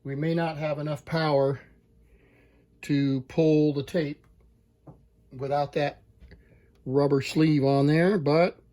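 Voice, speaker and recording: A man's voice: on the high side, steady tone, warm tone. A man walking through a hands-on repair, talking plainly and thinking aloud as he works. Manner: slow, plain-spoken, thinking aloud while working. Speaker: repair technician.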